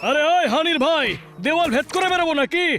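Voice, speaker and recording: Bossy high cartoon animal buddy, always scolding n cocky, music in back. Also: fast, fairly bright tone. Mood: cocky, annoyed. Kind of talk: cartoon dub acting.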